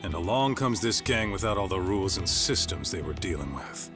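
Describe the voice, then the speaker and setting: An actor voicing a blunt, streetwise ex-gangster in a video game. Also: male voice, low voice, lively intonation, fairly quick. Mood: gruff. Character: gruff tough male ex-yakuza in his thirties. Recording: music playing behind. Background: music.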